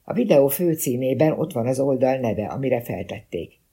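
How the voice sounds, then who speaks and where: A male narrator reads detective fiction in a husky, mellow voice. His pitch sits in the middle range, and the delivery is that of scripted first-person storytelling. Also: lively intonation. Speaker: audiobook narrator.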